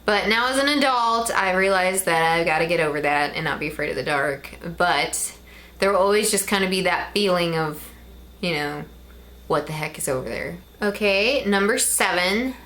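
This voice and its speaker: A woman's voice: on the low side, animated, normal speed, a bit bright. A woman in her twenties with a Midwestern American accent, chatting casually to camera in a rambling way with frequent ums. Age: 20s. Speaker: vlogger.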